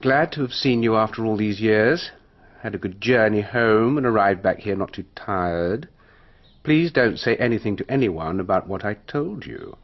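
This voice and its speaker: An actor playing a sly, nosy, idle young gentleman in a radio drama, arch and insinuating. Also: on the low side, expressive, moderate pace, dull audio. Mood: intrigued.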